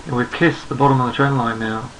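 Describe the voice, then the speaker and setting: A hoarse man who is losing his voice, talking through market charts in an unhurried, think-aloud way and often repeating words as he goes. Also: medium pitch, pushed and bright, slight background noise, poor audio. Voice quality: gravelly. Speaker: trading analyst. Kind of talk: screen-recorded market chart commentary.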